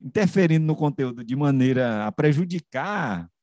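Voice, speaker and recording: A man explains in an academic discussion, haltingly, repeating and rephrasing and asking rhetorical questions, close to the microphone. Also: medium pitch, expressive, darkish tone, no room sound. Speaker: media researcher on a panel. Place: room with bookshelves, on a video call. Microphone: large microphone close to the mouth.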